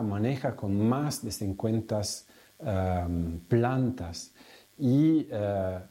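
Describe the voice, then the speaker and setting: A male researcher speaking in a halting way, with many fillers and restarts. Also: fairly low pitch, dark tone. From Italy. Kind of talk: interview remarks.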